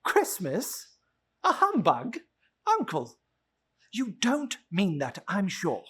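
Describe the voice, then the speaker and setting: Performer doing an earnest young nephew, animated and husky. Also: medium pitch, slow pace, full character voice. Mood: cheerful, teasing. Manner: earnest, exclamatory, theatrical. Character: cheerful, earnest young nephew, warm and pleading. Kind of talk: dramatic reading of a classic story.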